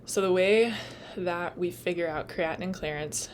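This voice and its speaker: A woman's voice: on the low side, normal speed, slight background noise. A young woman explaining nursing material in a casual, chatty way, full of "like" and "um", and she is losing her voice. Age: young adult. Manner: chatty, lots of "like" and "um", laughs at own slips. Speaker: nursing student tutor. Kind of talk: casual study lecture.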